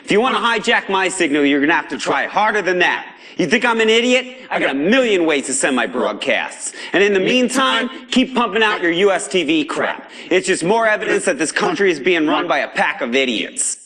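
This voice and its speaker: A paranoid American pirate radio host from a video game. His high voice swings widely and has a sharp, forceful edge. He rants with sarcasm and indignation, defiant toward authority and addressing listeners like comrades. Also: very animated, a little fast, hardly any pauses, exaggerated voice. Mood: defiant, mocking. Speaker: underground broadcaster. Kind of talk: impassioned pirate broadcast rant.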